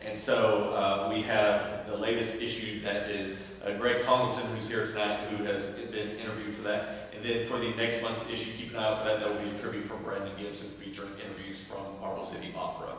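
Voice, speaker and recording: A man's voice: lowish voice, level tone, a little fast, few pauses, a bit bright. A man hosting a theater event, making informal announcements to an audience. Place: large indoor theater hall, distant microphone. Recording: distant, very echoey hall, faint noise.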